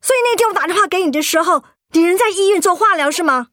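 An actress playing an anxious, hot-tempered young office worker in a Mandarin radio drama, blunt and impatient, with a Taiwanese accent. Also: female voice, very high-pitched, expressive, fast talker, fairly bright tone, no echo, studio quality. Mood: hurt, furious. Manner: blunt, impatient, emphatic questioning.

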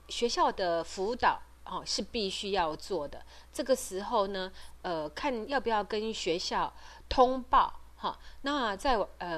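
A middle-aged woman hosts an informal advisory talk in Taiwanese-accented Mandarin. Her voice sits at a medium pitch. She explains step by step, often pausing and feeling for the next phrase, and ends clauses with soft particles. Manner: explanatory, hesitant, with frequent pauses and trailing particles. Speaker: podcast host and parent advocate.

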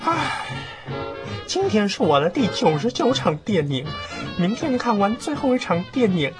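A woman playing a naive, excitable young male rookie in a radio drama, often stammering and repeating words. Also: mid-range voice, unhurried. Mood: anxious, resolute. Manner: stammering, breathless repetition of words. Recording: music behind the voice.

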